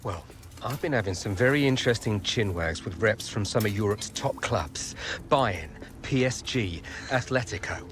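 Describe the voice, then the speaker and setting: A man's voice: fairly low pitch, lively intonation, normal speed, fairly bright tone, slight background noise. A British man playing a slick, chummy football agent with slangy, persuasive talk in a video game cutscene. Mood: gleeful. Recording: music behind the voice.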